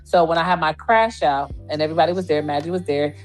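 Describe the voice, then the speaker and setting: A woman's voice: sits low, steady tone, fast, soft background music. A woman ranting on a livestream, defensive and profane as she justifies herself. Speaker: streamer in a played clip. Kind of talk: heated live-stream rant.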